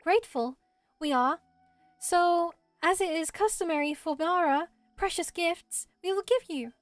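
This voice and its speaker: A woman voicing a small, gentle, childlike forest spirit in a video game, solemn and earnest, with quaint, ceremonious phrasing. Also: very high voice, a little slow, dry recording, soft background music. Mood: earnest, grateful. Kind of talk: amateur voice acting of video game dialogue.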